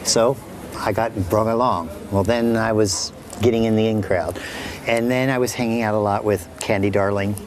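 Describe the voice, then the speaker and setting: A man's voice: on the low side, fairly even intonation, normal speed, a crowd in the background. A man being interviewed, telling anecdotes casually and frankly, with swearing. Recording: clear background noise and room ambience.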